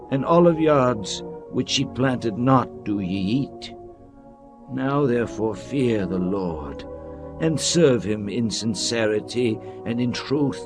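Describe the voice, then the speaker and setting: A man playing Joshua, a leader solemnly addressing his people in a dramatized scripture reading, with music playing behind. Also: mid-range voice. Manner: solemn, commanding oratory.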